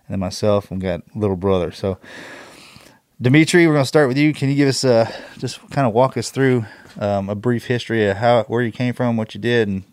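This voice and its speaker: A man hosts a podcast and introduces his guests informally, rambling a little. His voice is somewhat low. The sound is dry and close to the mic. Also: a little mellow, clean tone, no echo. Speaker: podcast host. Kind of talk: casual podcast hosting.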